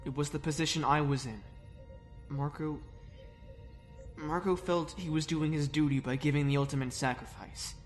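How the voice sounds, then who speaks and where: A man voicing an earnest young soldier leader who speaks calmly and conciliatorily. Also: fairly high pitch, moderate pace, soft background music, close to an everyday voice. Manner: calm, conciliatory.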